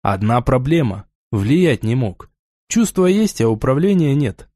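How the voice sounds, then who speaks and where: Mellow male audiobook narrator, dry studio sound. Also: mid-range voice, big pitch swings, unhurried, dry recording. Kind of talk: scripted first-person fiction narration.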